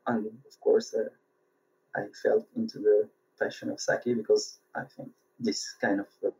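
Man, French accent, mellow husky voice, hesitant interview guest, searching for words, muffled line. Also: lowish voice, level tone, measured pace. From France and Tunisia. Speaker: interview guest, sake brewery worker. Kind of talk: casual interview answers. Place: remote call from Japan. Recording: dull, muffled remote connection.

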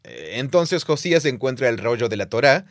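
Male co-host, mid-pitched, animated explainer, dry close mic. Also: fairly quick, no room sound. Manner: animated explainer, laughs easily. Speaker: podcast co-host, Bible teacher. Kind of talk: casual teaching conversation.

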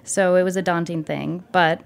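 Lady guest on a podcast, kinda low voice, chatty and thoughtful, lots of ums. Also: steady tone, normal speed, vocal fry. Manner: storytelling, thoughtful, with frequent fillers. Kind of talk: casual interview conversation.

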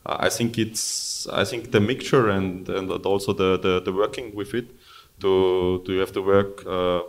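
A man speaking as a winemaker on a live panel, halting and repeating himself as he looks for words. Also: deep voice, little pitch movement.

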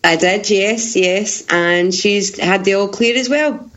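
A woman with a big, strong voice, telling her story as a guest in a lively, emphatic way and laughing often. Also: mid-range voice, slow, dull audio, slight background noise. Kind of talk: casual storytelling in conversation.

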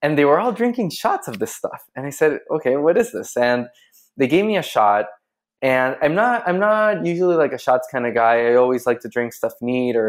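A man from Chile, a guest on a podcast, telling a story in casual chat and laughing often. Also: mid-range voice. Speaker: guest, drinks brand founder.

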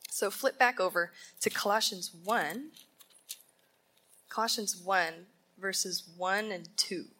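A woman delivers a sermon in a conference ballroom, her voice bright and clear. She takes her time, stretching out key phrases with deliberate emphasis. She often pauses to ask her listeners searching rhetorical questions. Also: medium pitch. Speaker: Bible teacher at a women's conference.

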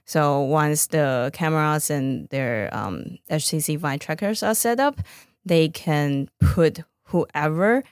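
A woman's voice: low-pitched, animated, slow pace, clear, resonant tone, dry recording, studio quality. A woman from Taiwan with a Taiwanese accent, answering interview questions conversationally as a startup founder. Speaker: startup founder, podcast guest.

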